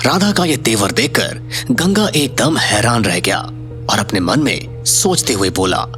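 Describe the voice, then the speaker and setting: Guy narrating an audio story, dramatic scripted read, very animated pitch, music clearly playing underneath. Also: a little slow.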